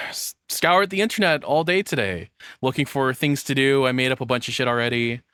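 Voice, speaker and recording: A man streaming casually, with pitch that moves up and down a lot. He rambles in a nervous, self-deprecating way, and the recording is close and dry. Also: fairly bright tone, dry recording. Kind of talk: casual livestream chat and reaction commentary. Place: hot home room.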